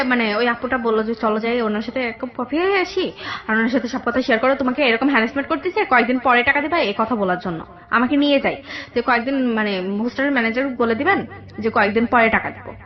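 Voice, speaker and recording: A young woman with a Bangladeshi accent telling her personal story in a radio interview, halting and repeating herself. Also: fairly high pitch. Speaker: guest telling own life story. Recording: dull lo-fi radio audio, background music.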